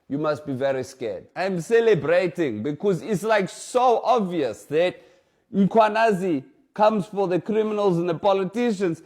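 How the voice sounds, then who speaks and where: A man's voice: sits high, pitched above the performer's own voice. A man putting on a smug Czech gangster. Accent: put-on Czech accent, exaggerated. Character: boastful male Czech gangster, scheming and smug. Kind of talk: satirical comedy sketch.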